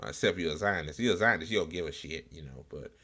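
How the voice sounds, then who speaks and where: Bright, forceful American man, bluntly opinionated. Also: sits low. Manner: blunt, slangy, profane; halting, repetitive delivery. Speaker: online political talk show host. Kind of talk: casual unscripted political commentary.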